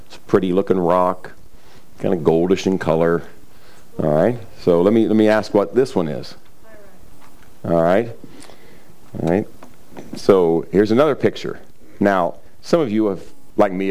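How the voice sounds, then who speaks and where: A middle-aged male pastor delivers a sermon in a slightly raspy, somewhat low voice. He speaks casually and conversationally, with plenty of fillers and the occasional throat clearing. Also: a little mellow. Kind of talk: sermon with scripture reading.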